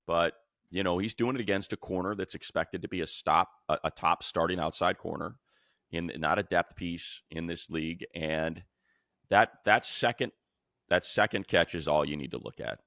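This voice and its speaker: A man's voice: deep voice, moderate pace, fairly bright tone, no room sound, studio quality. An American man giving casual, emphatic football analysis as a show host, repeating phrases for stress.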